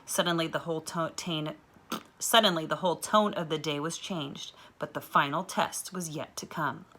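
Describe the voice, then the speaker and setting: A woman reading a children's novel aloud with clear, expressive storytelling. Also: low voice, moderate pace, background noise.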